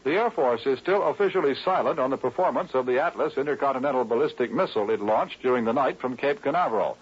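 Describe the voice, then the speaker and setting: Husky American radio newsman. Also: medium pitch, animated, fast. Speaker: news announcer. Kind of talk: 1950s radio newscast. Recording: muffled vintage radio recording.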